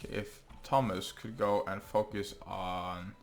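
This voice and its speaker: A young man with a Norwegian accent, English not his first language, casually talking through his gameplay and thinking aloud with frequent ums and pauses. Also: low voice, fairly even intonation, soft background music. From Norway. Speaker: gaming channel host. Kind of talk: let's play commentary.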